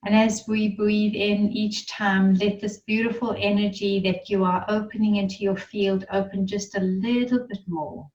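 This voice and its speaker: A woman leading a guided meditation in a soothing, unhurried manner, with gentle instructions and pauses. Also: mid-range voice, little pitch movement, distant mic, lo-fi sound.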